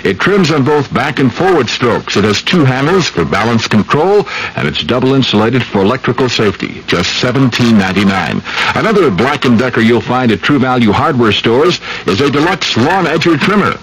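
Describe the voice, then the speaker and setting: A man with an American accent reading a scripted radio advertisement as a pitchman. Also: expressive, normal speed, a bit bright. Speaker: commercial pitchman. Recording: slightly noisy old radio broadcast.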